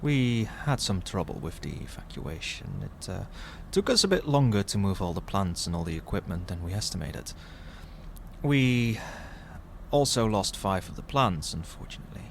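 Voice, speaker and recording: A man's voice: sits low, sing-song, normal speed, creaky, slight background noise, heavily acted. A man playing an apologetic crime underling in an audio roleplay, hesitant and deferential as he reports to his boss. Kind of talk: scripted audio roleplay acting.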